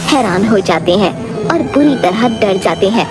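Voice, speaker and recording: A woman tells a scripted story as its narrator, with music playing underneath. Her delivery is measured storytelling, set apart from the characters. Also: medium pitch, expressive.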